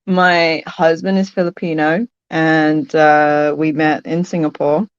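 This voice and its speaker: A woman from London, a podcast guest who answers thoughtfully, pausing and restarting phrases. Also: deep voice, little pitch movement, smooth, no echo, lo-fi sound. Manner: thoughtful, hesitant, restarts phrases and laughs often. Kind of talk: casual interview conversation.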